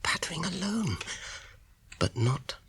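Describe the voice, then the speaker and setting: An actor playing a fearful hobbit in a radio drama, giving a hesitant, breathy inner monologue. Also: male voice, on the high side, big pitch swings, slow, a bit bright, a little hiss or hum, exaggerated voice. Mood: dread. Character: uneasy male hobbit listening fearfully in darkness.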